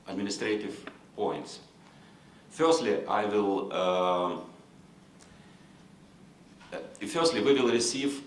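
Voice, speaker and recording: A man with a Lithuanian accent moderating a conference panel in formal, halting English, with many fillers and restarts. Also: on the low side, echoey room, a little hiss or hum. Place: conference room.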